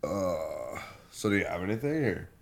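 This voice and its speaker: A man teasing his co-hosts in easygoing banter on a casual podcast. His voice sits on the low side, with a faint hiss in the background. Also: very animated, moderate pace, vocal fry. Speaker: podcast co-host. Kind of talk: casual chat.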